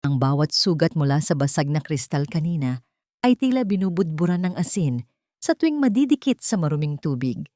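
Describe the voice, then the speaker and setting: Casting: female audiobook narrator, lowish register, dramatic scripted fiction, very dry studio-style sound. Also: very animated, brisk, mellow, no echo.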